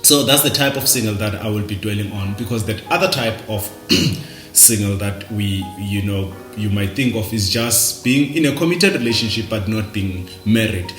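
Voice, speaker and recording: Low-voiced South African man, echoey room. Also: fairly bright tone, soft background music. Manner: halting, repeats words. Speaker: vlogger. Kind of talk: casual reflective talk to camera. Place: indoors, dim room at night.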